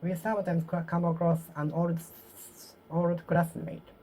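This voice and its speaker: Woman with a japanese accent, low mellow voice, halting self-corrections, faint room hum. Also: little pitch movement, low-quality recording. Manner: halting, repeats and self-corrects phrases, trailing off softly. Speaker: vlogger. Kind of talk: solo English speaking practice, talking to herself.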